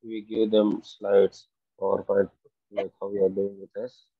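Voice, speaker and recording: Low, flat, husky male student. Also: a little slow, no echo. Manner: hesitant, polite, uses "sir". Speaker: student in the class. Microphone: computer mic on a video call. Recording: dull lo-fi call audio.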